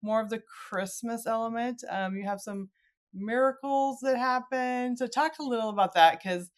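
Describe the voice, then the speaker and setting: A middle-aged woman hosting a podcast interview in a casual, chatty and expressive way. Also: fairly high pitch, normal speed. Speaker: podcast host.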